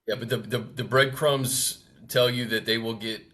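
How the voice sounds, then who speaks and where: A man co-hosting a sports talk show, thinking aloud in a measured, conversational way as he gives unscripted analysis. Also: mid-range voice, fairly even intonation, a bit bright.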